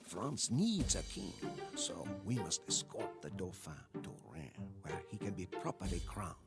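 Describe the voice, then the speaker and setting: An actor narrating as a weary, devoted medieval French soldier, solemn and storyteller-like, in a scripted game cutscene. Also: male voice, lowish voice, creaky, full character voice. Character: weary, devoted French soldier chronicling Joan of Arc's war. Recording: music behind the voice.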